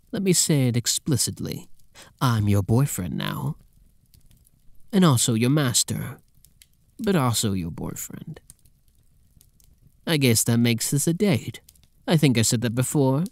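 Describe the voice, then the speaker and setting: A man's voice: mid-range voice, vocal fry, dry recording, full character voice. A man playing a possessive, doting, dominant master and boyfriend in an intimate, teasing ASMR roleplay. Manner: teasing, soft, intimate, commanding, at times whispering.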